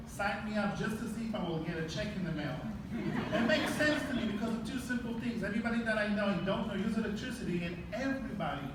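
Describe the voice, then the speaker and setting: A man from Israel giving an excited, heartfelt testimonial speech. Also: high voice. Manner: excited, heartfelt, slightly halting. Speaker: speaker at a business event. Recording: echoey hall, distant mic, crowd noise.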